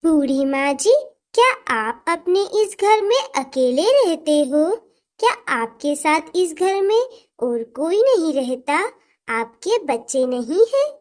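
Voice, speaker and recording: A woman's voice: sits high, sing-song, slow pace, darkish tone, exaggerated voice. A woman voicing a caring, cheerful little girl bird in a children's cartoon, with an earnest, childlike delivery. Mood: curious.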